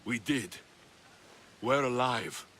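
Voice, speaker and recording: A man's voice: mid-range voice, takes it slowly, fairly bright tone, a little hiss or hum. An actor playing an earnest young mercenary in a video game, insistent as he speaks to an estranged sibling. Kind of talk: dramatic video game voice acting.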